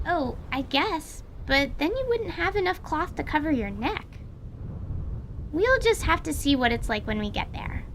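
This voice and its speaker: Squeaky, excitable little girl. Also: a little slow, a little hiss or hum, full character voice. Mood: earnest. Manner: exclamatory, teasing, bouncy. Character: excitable cheeky young girl, big sister.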